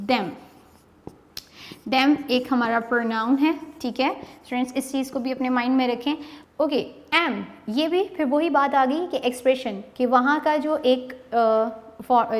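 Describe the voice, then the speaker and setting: A Pakistani woman with a high voice walks through a poem for her students, switching between Urdu and English. She speaks unhurriedly and stretches out each verse as she reads it aloud. Her voice rings with a long reverb, as if recorded in a bare hall. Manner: explains line by line, mixing Urdu and English; slow, reads poem lines drawn out. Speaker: English teacher. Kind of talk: online lecture explaining a poem.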